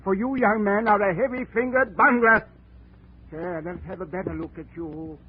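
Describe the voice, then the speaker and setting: An actor playing a small, theatrical, menacing crime collector with pompous, ornate speech in a 1940s radio drama. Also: male voice, sits high, moderate pace, close to an everyday voice. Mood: mocking menace. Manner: ornate, pompous, precise diction. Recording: tinny, noisy 1940s radio recording.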